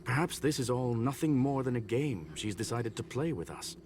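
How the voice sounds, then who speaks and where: A man voicing a learned mechanical gentleman in a video game, formal, calm and eloquent. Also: medium pitch, normal speed, natural voice. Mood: calm, musing. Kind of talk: scripted video game dialogue. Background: game music.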